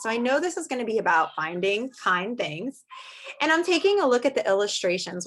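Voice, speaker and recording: A woman with a fairly high voice speaks as an elementary teacher sharing a picture book with little kids. She explains things in simple words, puts questions to her young listeners, and delivers it all expressively. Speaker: elementary teacher reading aloud to children. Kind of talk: storybook read-aloud lesson for young children. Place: online video session with screen sharing.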